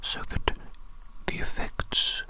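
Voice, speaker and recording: A performer whispering an ASMR roleplay as a doting, eerily excited evil doctor, speaking softly and deliberately and repeating phrases soothingly. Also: poor audio. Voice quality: breathy unvoiced whisper.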